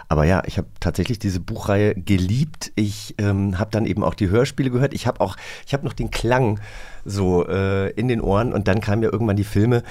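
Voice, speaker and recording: A man's voice: low voice, a little mellow. A man co-hosting a radio magazine with casual, conversational chat. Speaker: co-host of a radio play magazine.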